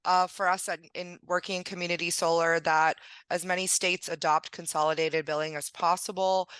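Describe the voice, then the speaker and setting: A woman presenting a webinar on billing, a bit gravelly as she gets over a cold, explaining steps in a friendly way with frequent ums. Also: lowish voice, a bit bright, no echo. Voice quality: slightly gravelly. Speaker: billing presenter for a solar company.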